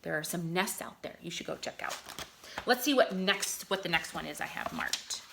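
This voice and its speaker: A woman teaching through a read-aloud, with expressive delivery, explanatory asides and check-in questions. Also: lowish voice, normal speed, gravelly, background noise. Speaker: teacher reading poems aloud to children.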